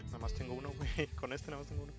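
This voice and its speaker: A man with a Mexican accent speaks quickly in relaxed gamer chat full of slang. Music is clearly heard over the voice chat. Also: a little high. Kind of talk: casual gaming voice chat.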